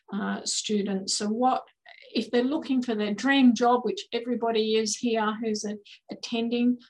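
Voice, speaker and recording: A woman moderating a careers panel webinar, affirming answers and asking measured questions with many fillers on a video call. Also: medium pitch, rough recording.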